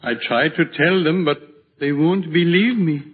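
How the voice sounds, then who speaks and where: Gentle old hermit played by a man, muffled recording. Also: a little high, measured pace, close to an everyday voice. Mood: serene, solemn. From Switzerland (the figure). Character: gentle old hermit, a kindly, serene widower. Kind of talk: old-time radio drama acting. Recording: dull vintage radio recording.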